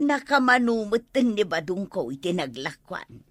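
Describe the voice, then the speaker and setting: An actress playing a blunt, scornful village gossip in a radio comedy drama, with an Ilocano accent. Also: female voice, mid-range voice, very animated, slow pace, no room sound. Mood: gossipy.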